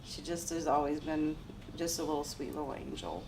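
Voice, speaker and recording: A middle-aged woman from Louisiana speaking gently about her daughter in an interview. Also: sits low, fairly even intonation, normal speed. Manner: gentle, laughs between thoughts. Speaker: interviewee, mother. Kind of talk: casual interview. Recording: distant mic, noisy ambience.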